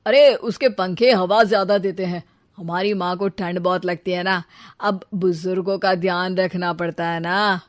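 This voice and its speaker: A woman's voice: on the low side, steady tone, a little slow, no room sound, studio quality, lightly acted. A woman voicing a bragging, slippery young husband who glibly justifies himself in a cartoon story. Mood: boastful. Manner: glib, self-justifying. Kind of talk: cartoon story dialogue in Hindi.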